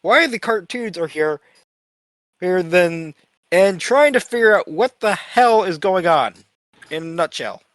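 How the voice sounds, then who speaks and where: A man's voice: high-pitched, expressive, measured pace, a bit bright, dry recording, studio quality. A man playing a tabletop game casually, narrating his character's moves with blunt talk and free swearing.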